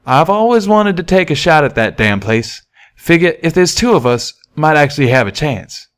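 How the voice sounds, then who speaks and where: A man's voice: a little high, very animated, normal speed, pitched above the performer's own voice. A man voicing a brash male pony with a folksy, emphatic way of talking for an audiobook. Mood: determined. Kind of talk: audiobook character voice.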